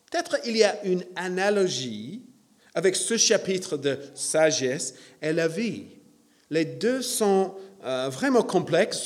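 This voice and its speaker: A man preaching a sermon in a church, hesitant with many fillers and self-deprecating. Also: a little high.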